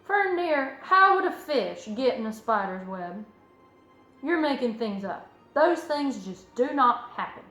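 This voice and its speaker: A woman voicing a stern, worried, sensible farm mother in a storybook, firm and measured. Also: sits high, big pitch swings, pushed and bright, exaggerated voice. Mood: stern, reproving. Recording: roomy echo, music underneath.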